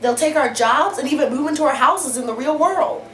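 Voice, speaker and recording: A young woman acts out a scornful, alarmist female candidate at a student-video debate. Her voice is fairly high and forceful, with blunt, folksy phrasing. The sound is roomy and echoing. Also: a little fast. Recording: roomy echo, noticeable background noise.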